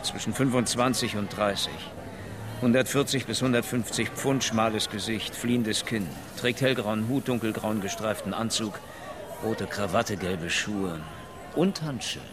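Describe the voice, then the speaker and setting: An actor playing a tough police detective in a radio crime drama, reporting facts in a clipped way. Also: male voice, lowish voice, measured pace, crowd noise, lightly acted. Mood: factual.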